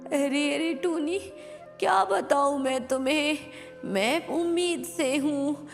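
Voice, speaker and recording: A woman's voice: sits high, takes it slowly, gravelly, exaggerated voice. A woman voicing a distressed, fearful young female bird with a plaintive manner in a cartoon. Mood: tearful, anxious. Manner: plaintive, worried. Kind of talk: Hindi cartoon moral-story voice acting. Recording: long reverb with music behind.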